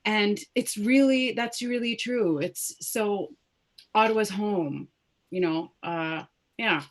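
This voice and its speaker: A woman's voice: mid-range voice, slow pace. A woman in her thirties, born in Lebanon with Arabic as her first language, talking reflectively in a casual video-call interview, often restarting her sentences. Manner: warm, reflective, many restarts and fillers. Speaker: guest soprano.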